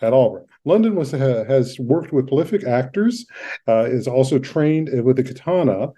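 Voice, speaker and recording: A man's voice: medium pitch, lively intonation, moderate pace, dark tone, breathy edge. A man hosting a podcast, introducing and interviewing a guest, stumbling a little over his words as he reads. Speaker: podcast host.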